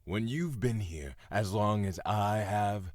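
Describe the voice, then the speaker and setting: A man's voice: on the low side, slow, a little mellow, no echo, clean studio sound. A man playing a bitter, long-imprisoned man in an audio drama, with a sardonic, mocking and insolent delivery. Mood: weary, grim.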